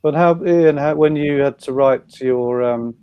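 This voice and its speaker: A man's voice: a little high, unhurried, highs cut off. A man chairing an online writers' panel, asking questions and reading audience questions aloud. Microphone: video call.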